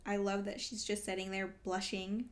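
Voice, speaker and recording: A woman's voice: medium pitch, level tone, moderate pace. A woman doing a casual read-along reaction as a vlogger, talking in an exclamatory, emotionally invested way. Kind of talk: casual reaction commentary while reading.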